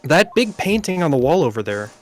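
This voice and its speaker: A fairly high male voice with music underneath , an amateur reading the part. He is a young game-world defense lawyer, earnest and polite, questioning in a plain, slightly hesitant way. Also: very animated, fairly quick. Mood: probing. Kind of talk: video game dialogue read aloud by amateur.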